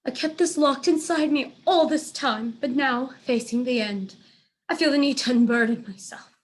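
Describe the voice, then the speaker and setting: A woman's voice: sits high, normal speed, pitched above the performer's own voice, close to an everyday voice. A woman voicing a haughty, lovestruck female cat in a children's storybook, with grand, melodramatic delivery. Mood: lovestruck, dramatic.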